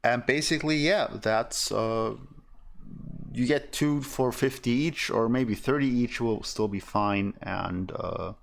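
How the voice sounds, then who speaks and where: A man's voice: medium pitch, slow, vocal fry. A young man with a German accent who thinks aloud as he explains soldering at his workbench, with many fillers. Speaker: electronics streamer. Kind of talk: casual soldering tutorial.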